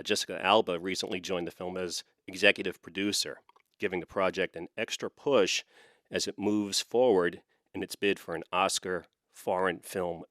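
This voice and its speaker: A man hosting a film podcast, reading a scripted introduction and then asking measured questions. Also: low-pitched, fairly bright tone, dry recording, clean studio sound. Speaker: podcast host.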